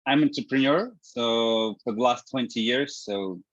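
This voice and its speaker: A man, a guest in an interview, talking in a halting way with many restarts and fillers. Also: medium pitch, dry recording, muffled recording. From Ukraine. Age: 30s. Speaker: guest, startup founder. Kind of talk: casual interview talk.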